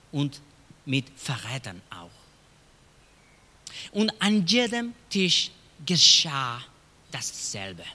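A middle-aged man from Chile with a Chilean Spanish accent, a pastor preaching a sermon in a slow storytelling way with hushed dramatic drops. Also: sits high. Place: church hall. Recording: long hall reverb.

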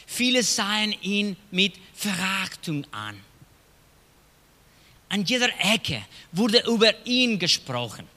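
A middle-aged man from Chile with a Chilean Spanish accent, a pastor preaching a sermon in a slow storytelling way with hushed dramatic drops. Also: high voice. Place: church hall. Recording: long hall reverb.

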